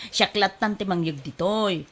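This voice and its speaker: A woman with an Ilocano accent acting as a sly, fast-talking livestock seller in a radio drama, animated and exclamatory. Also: mid-range voice. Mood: coaxing.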